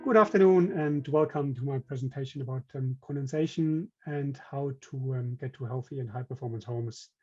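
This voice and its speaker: A man's voice: medium pitch, normal speed, few pauses, a little mellow, dull audio, soft background music. A man with a German accent giving a technical webinar presentation, speaking hesitantly with many fillers and restarts. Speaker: company director presenting.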